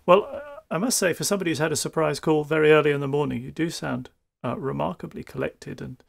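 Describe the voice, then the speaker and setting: Gravelly male interviewer. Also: on the high side, fairly even intonation, quick pace. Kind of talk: conversational interview.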